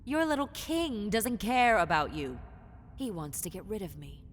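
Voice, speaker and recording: A woman voicing a possessive, teasing lamia, a half-snake predator, in a roleplay audio drama, with condescending, seductive taunts that slip into breathy whispers. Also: mid-range voice, lively intonation, unhurried, close to an everyday voice. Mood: condescending, amused. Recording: long cave-like reverb, faint noise.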